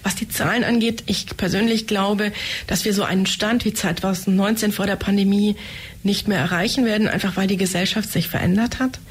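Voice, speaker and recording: Husky woman speaking confidently. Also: medium pitch, steady tone, a little fast. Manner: confident, matter-of-fact, with short laughs. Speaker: head of a family education center. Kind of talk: interview answers.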